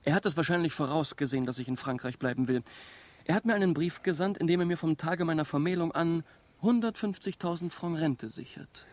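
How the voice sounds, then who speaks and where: An actor playing a young, calculating aristocratic suitor in a radio drama, polite, suave and flattering. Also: male voice, fairly high pitch, a little fast, natural voice. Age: young adult. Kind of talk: German radio drama acting.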